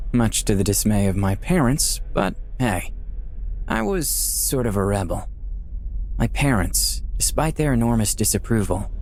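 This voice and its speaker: A man's voice: fairly low pitch, darkish tone, slight background noise. An actor playing a wry, self-deprecating dead young artist who narrates his afterlife in a casual storytelling way for a visual novel. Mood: wry, resigned. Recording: music playing behind the voice.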